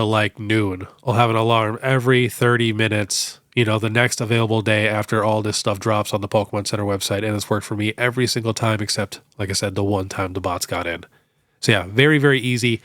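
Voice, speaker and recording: A young man with a stuffed-up nose from a cold, hosting a casual question-and-answer show in a relaxed, chatty way full of fillers. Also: lowish voice, no echo, studio quality. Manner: relaxed, chatty, many fillers like "you know". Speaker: host. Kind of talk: casual Q&A on card collecting.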